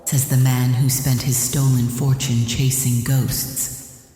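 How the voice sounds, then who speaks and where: A very deep, dark-voiced captive played by a woman, ancient and defiant, needles her captor with sardonic taunts. It is cinematic audio drama, ringing in a heavily echoing hall. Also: little pitch movement, slow. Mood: mocking. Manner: taunting, sardonic, sometimes dropping to a whisper. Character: defiant, mocking ancient female captive in pain. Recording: cavernous echoey hall with faint music.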